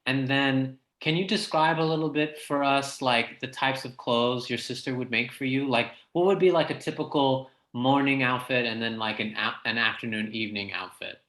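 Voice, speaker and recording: A man's voice: medium pitch, fairly even intonation, normal speed, hardly any pauses, fairly bright tone, reverberant. A man leading a career workshop, giving playful, upbeat feedback to students. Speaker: workshop facilitator. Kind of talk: online workshop coaching.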